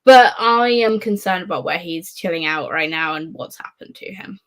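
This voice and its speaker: A woman's voice: fairly low pitch, moderate pace. A woman in a casual live book discussion, rambling along in a wry, sweary way. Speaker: book readalong panellist.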